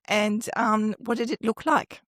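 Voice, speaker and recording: A woman hosting a podcast, engaged and asking questions with warm laughter. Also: a little high, steady tone, measured pace, no echo, studio quality. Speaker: podcast host. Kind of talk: casual interview.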